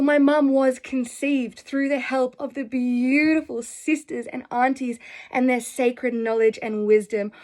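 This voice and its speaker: A woman's voice: fairly high pitch, darkish tone. A young Australian woman telling personal stories to camera in an earnest, effusive, rambling way. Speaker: spiritual vlogger. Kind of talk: casual personal storytelling to camera.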